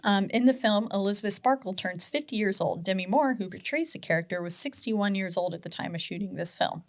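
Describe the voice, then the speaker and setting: A woman's voice: mid-range voice, expressive, brisk. A woman around thirty co-hosting a podcast, reading out movie facts with casual asides. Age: 30s. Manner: reads facts aloud with asides. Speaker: podcast co-host. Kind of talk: casual chat, reading trivia.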